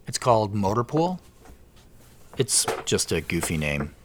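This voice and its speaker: A man's voice: on the low side, very animated, unhurried. An actor playing an awkward, modest businessman in his late thirties, speaking hesitantly in an audio drama. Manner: hesitant, self-deprecating. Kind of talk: naturalistic audio drama dialogue.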